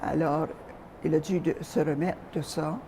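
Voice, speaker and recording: An older woman from Calgary, Canada, looks back on her life in a soft, mellow voice. She speaks hesitantly, pausing often and filling gaps as she recalls details. There is a faint hiss behind her voice. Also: low voice, fairly even intonation. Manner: soft-spoken, hesitant with frequent pauses. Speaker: interview guest. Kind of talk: reminiscing in an interview.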